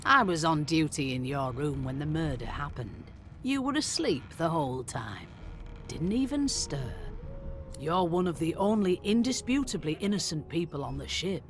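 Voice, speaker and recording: A woman's voice: low-pitched, very animated, normal speed, a little hiss or hum. A woman playing a grim, matter-of-fact guard investigator with a wry edge in a video game. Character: grim, businesslike female magister guard investigating a murder.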